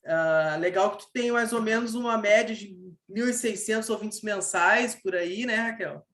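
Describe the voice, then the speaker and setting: A man's voice: high voice, moderate pace, fairly bright tone. A Brazilian man hosting a casual interview, speaking in an informal, slangy and encouraging way. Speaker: podcast host, interviewer. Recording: echoey room.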